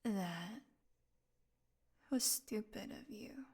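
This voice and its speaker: A woman playing a weakened, hungry female vampire in an intimate audio roleplay, sarcastic and teasing, with a breathy voice that often drops into a whisper. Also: medium pitch, darkish tone, exaggerated voice. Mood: wary, menacing. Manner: sarcastic, breathy murmurs, drawn-out weary delivery.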